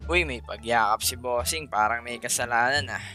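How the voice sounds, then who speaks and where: A man's voice: medium pitch, normal speed. A Filipino man doing a casual, playful vlog voice-over, teasing and chatting straight to his viewers. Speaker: motovlogger narrating own trip. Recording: music behind the voice-over.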